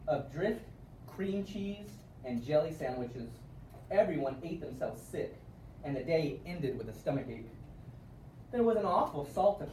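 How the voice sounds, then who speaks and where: A man reading a children's storybook aloud in an expressive way with emphatic word stress, recorded at a distance in a room. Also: a little high. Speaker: storybook reader. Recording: roomy, distant mic with faint noise.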